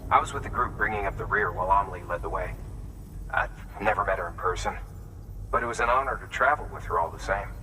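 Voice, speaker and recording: Low, bright-voiced grateful wind farm worker. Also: male voice, fast, recorded from a distance, poor audio. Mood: admiring, reflective. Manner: conversational, admiring. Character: grateful man running a remote wind farm. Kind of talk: video game cutscene acting.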